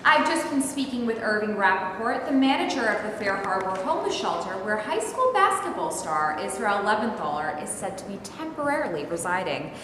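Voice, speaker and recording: A reverberant, noisy hall carries an actress playing an eager star reporter. Her delivery is formal and self-important, lively and swinging, as in a mock newscast on stage. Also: medium pitch, fairly quick, hardly any pauses. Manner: declarative, formal, probing. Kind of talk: mock news report in a staged play reading. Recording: echoey hall, clear background noise.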